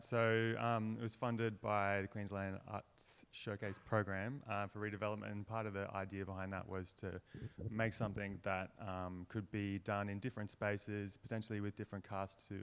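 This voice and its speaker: Smooth, low-voiced man. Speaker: theatre maker being interviewed. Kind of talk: casual, explanatory interview talk.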